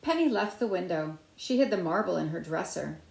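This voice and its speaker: A middle-aged woman reading a children's picture book aloud with deliberate phrasing. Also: lowish voice, lively intonation, vocal fry, a little mellow. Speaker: storybook reader. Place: small room at home by a window, indoors. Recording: roomy, echoey indoor sound.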